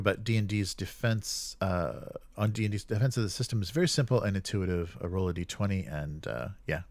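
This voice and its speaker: A man hosting a tabletop gaming livestream, chatting casually and reading out viewer comments in a friendly, informal, halting way. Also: on the low side, no room sound.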